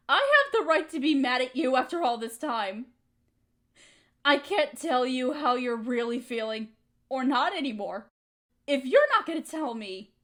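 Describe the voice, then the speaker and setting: A woman's voice: sits high, fairly bright tone. A woman playing a hurt, resentful young woman confronting her roommate in an emotional, accusatory audio roleplay monologue. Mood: angry, defiant. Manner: emotional, accusatory, pointed rhetorical questions.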